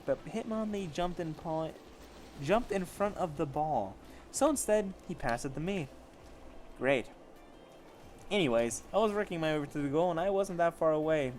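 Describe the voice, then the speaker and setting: A man's voice: a little high, animated, normal speed, darkish tone, slight background noise. A man casually reading fan fiction aloud as an amateur story reader, stumbling over the text and correcting himself.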